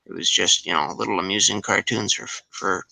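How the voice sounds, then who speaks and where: A man's voice: low-pitched, fairly even intonation, brisk, gravelly, sharp tone, no room sound, poor audio. A man talking casually as a panelist on a podcast. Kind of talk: casual discussion.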